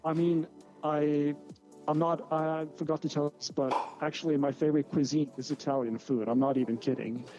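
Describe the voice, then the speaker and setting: A man's voice: on the high side, little pitch movement, normal speed, dull audio, over music. A young man from northern Norway, a guest musician rambling with many restarts in a casual interview. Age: young adult.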